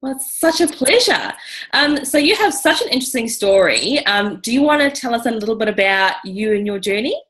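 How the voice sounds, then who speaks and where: A woman's voice: a little high, big pitch swings, fairly quick, a bit bright, poor audio. A woman hosting a casual video interview, enthusiastic and chatty, often dropping in "you know". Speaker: interview host.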